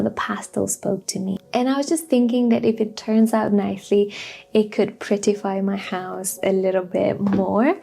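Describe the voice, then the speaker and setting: A woman's voice: on the high side, vocal fry, mellow. A woman from Romania giving a chatty, personal lifestyle vlog voiceover. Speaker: lifestyle vlogger.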